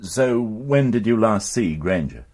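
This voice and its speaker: A man playing a shrewd, courteous police inspector with an English accent in a British radio crime drama, probing formally with deliberate hesitations. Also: fairly low pitch, animated, darkish tone.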